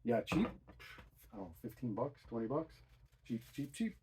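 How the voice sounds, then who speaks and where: A man's voice: medium pitch, very animated, normal speed, background noise. A middle-aged man giving a casual, unscripted DIY explanation, thinking aloud in a rambling, self-deprecating way. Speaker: DIY hi-fi hobbyist presenter.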